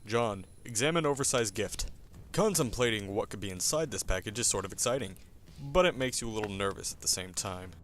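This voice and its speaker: A man's voice: lowish voice, animated, fairly quick, fairly bright tone. A man reading scripted comic narration in a wry, theatrical manner.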